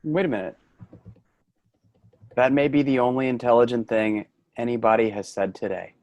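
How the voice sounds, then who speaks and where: A man's voice: medium pitch, fairly even intonation, normal speed, a little mellow, close to an everyday voice. A man in a staged play reading, playing a sarcastic, exasperated office worker. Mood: dry, sarcastic.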